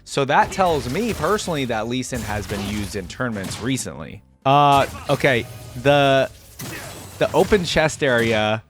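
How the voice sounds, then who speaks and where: A man with a mid-range voice gives off-the-cuff commentary as a reaction host. He speaks informally, thinking aloud and exclaiming, with music clearly audible behind him. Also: medium pitch, very animated, a little slow, a bit bright. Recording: music and game audio behind voice.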